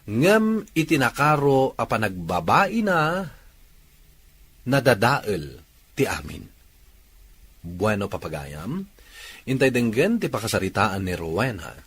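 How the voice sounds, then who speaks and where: A man with an Ilocano accent narrating as a radio drama host, reading a letter in a husky voice. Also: mid-range voice, sing-song, takes it slowly, long pauses, a bit bright, exaggerated voice. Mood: solemn narration.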